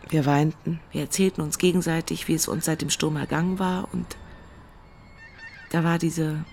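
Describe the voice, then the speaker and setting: An actress playing a moved hurricane survivor in a radio drama, telling the story reflectively and haltingly. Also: female voice, sits low, steady tone, vocal fry, darkish tone, slight background noise. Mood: moved, hopeful.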